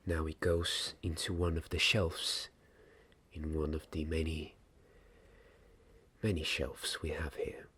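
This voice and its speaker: A young man in an ASMR roleplay playing a gentle, patient wand maker mentoring an apprentice, speaking softly and breathily, at times in a whisper, with hesitant, deliberate phrasing. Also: extremely low pitch, level tone, full character voice. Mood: calm, kindly. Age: young adult. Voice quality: soft, breathy, partly whispered. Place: small dim indoor room. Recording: clear background noise and ambience.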